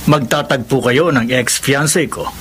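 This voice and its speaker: A man acting an uneasy middle-aged dad in a radio drama. The voice is bright and forceful at a medium pitch, with faint noise behind it. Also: a little slow, lightly acted. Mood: uneasy, surprised. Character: startled, uneasy father of a grown son.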